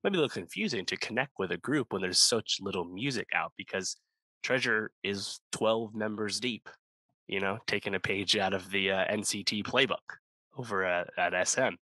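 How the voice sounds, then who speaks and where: A man's voice: on the low side, moderate pace, bright, forceful tone, no room sound, poor audio. A man co-hosting a music review podcast, talking casually and rambling with lots of fillers and restarts. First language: English speaker, not Korean. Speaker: podcast co-host.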